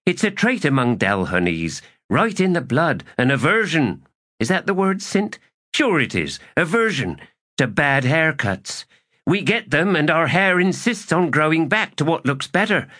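A cocky soldier woman voiced by a male narrator, pitched well up and swinging freely as she teases. She is glib and taunting, a character out of a fantasy audiobook. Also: sing-song, measured pace, fairly bright tone, full character voice. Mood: glib, cheeky. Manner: teasing, glib. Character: cocky, taunting adult female soldier at a dice game.

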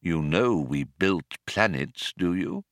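A male narrator puts on an elderly, gentle gentleman from a storybook world. He is solemn, vague and terribly polite, taking his time over every thought. His speech rises and falls widely, in a dry recording. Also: medium pitch, very animated, no echo. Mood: wistful. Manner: vague, unhurried, wistful, gently formal. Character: kindly, absent-minded old alien man, solemn and polite. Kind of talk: audiobook character voice.

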